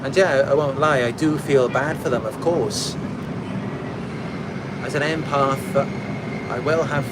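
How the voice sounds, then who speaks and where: Welsh man, unhurried, noisy background. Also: medium pitch. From Wales. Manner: reflective, unhurried, with fillers and restarts. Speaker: channel host reading viewer comments. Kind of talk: casual talk to camera.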